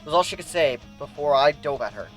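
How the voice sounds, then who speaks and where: A man narrates a fantasy tale in an amateur dramatic reading. His voice sits high for a man and moves with some liveliness. Music plays softly behind him. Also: lively intonation, brisk. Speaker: amateur fan fiction reader. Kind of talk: dramatic first-person story narration. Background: background music.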